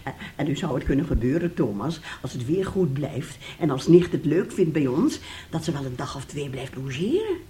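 A woman's voice: low-pitched, sing-song, normal speed, warm tone. A middle-aged actress playing a bossy, scheming, self-pitying mother who coaxes and scolds in a radio play. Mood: coaxing.

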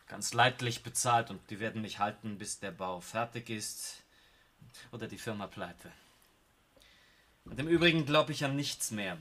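An actor playing an embittered, proud war veteran in a radio play, with an Austrian accent and an emphatic, insistent delivery. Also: male voice, lowish voice, moderate pace, a bit bright. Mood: bitter, defiant. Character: embittered, proud war veteran turned building-site supervisor. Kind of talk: German radio play acting. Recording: noisy old radio recording.

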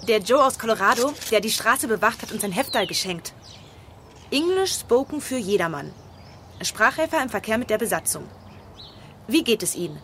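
Chatty young wife, radio drama, high bright voice, playful and nudging, bit of background noise. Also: female voice, big pitch swings, normal speed. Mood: playful, prodding.

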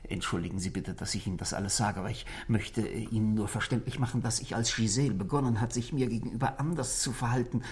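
A man's voice: fairly low pitch, fast talker, few pauses, a little mellow, lightly acted. Actor as nervous husband guy, hesitant, apologetic, kinda whispery at times, old sixties radio play. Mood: apologetic, subdued. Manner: hesitant, apologetic, earnest, breaking into whispery undertones. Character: troubled married man, anxious, self-taught, convinced he is being poisoned. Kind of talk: 1960s German radio play acting. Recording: vintage 1960s radio drama recording.

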